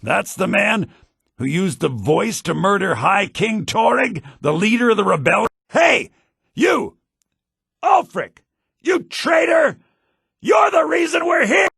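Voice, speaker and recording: A man's voice: high voice, gravelly, breathy edge, dry recording, raised voice, studio quality, exaggerated voice. An actor playing a shifty, irritable townsman and dealer in a fantasy video game, with a sardonic, easily exasperated manner. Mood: angry, desperate. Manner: expressive, sardonic, quick to exasperation. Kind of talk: video game character voice lines.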